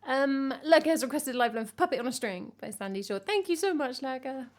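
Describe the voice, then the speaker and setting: A woman from England with a croaky voice, chatting casually with her live-stream chat as a singer-songwriter and teasing herself as she goes. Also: sits high, expressive, a little fast. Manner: chatty, self-teasing, hums melody snippets.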